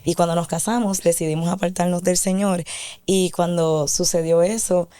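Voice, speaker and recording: A woman's voice: fairly low pitch, steady tone, moderate pace, dark tone, clear, resonant tone, dry recording, clean studio sound. A woman giving an earnest, devout personal testimony as an interview guest.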